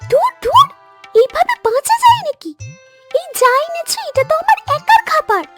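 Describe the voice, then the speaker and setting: A greedy little cartoon duckling, with an extremely high, childlike pitch. The delivery swings up and down in sulky, self-pitying complaint. Music plays underneath. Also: female voice, very animated, normal speed, a bit bright, full character voice. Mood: angry, sulking. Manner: whiny, complaining.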